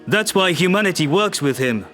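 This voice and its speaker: A man playing an earnest human diplomat at a podium, pleading his case to an assembly in a persuasive, measured way. The voice sits fairly high and faint music runs underneath. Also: lively intonation, a bit bright. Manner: earnest, persuasive speech-making.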